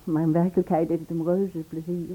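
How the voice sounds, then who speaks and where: A woman's voice: lowish voice, flat delivery, brisk, warm tone, husky. An actress playing a hesitant, loyal woman who is wary of trouble, understated, in a radio play. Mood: recounting. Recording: dull, band-limited old radio play recording.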